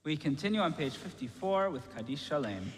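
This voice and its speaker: Service leader, fairly high-pitched man, matter-of-fact announcing; long sanctuary reverb. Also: very animated, a little fast, faint music behind. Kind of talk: liturgical announcements. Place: synagogue sanctuary, live broadcast.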